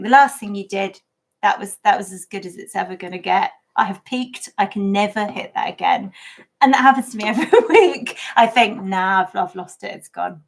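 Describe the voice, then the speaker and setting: Self-deprecating English woman with a gravelly voice. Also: medium pitch. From England. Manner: candid, self-deprecating, laughs often. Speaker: podcast co-host and writer. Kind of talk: casual livestream chat.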